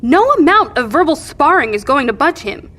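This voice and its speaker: A no-nonsense peacemaker, bit high voice, firm n direct, audio drama. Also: female voice, sing-song, normal speed, fairly bright tone. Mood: scolding, firm.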